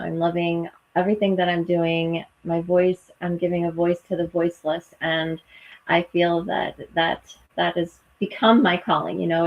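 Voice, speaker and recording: A woman's voice: lowish voice, dull audio. Bubbly laughing woman on a video call. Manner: bubbly, laughs often, chatty. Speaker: co-host and coach for nurses. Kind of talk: live online chat.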